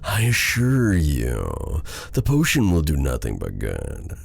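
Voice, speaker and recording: A man playing an exiled dark sorcerer in a fantasy ASMR audio roleplay, a menacing, flirtatious villain with a theatrical, teasing, deliberate delivery. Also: extremely low pitch, wildly swooping pitch, creaky, husky, full character voice. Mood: sly, bargaining.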